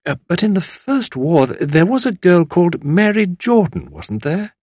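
Man playing a polite, inquisitive lady, lightly high. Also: sing-song, normal speed, darkish tone, breathy edge, no room sound, studio quality, exaggerated voice. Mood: curious. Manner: tentative, courteous. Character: polite, inquisitive well-bred lady visitor, tactful.

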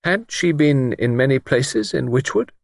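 A man voicing a wry, gently persistent gentleman investigator in an audiobook, asking patient, probing and direct questions. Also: mid-range voice, lively intonation, unhurried, vocal fry, dry recording, studio quality, pitched above the performer's own voice. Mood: decisive, probing. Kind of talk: audiobook character dialogue.

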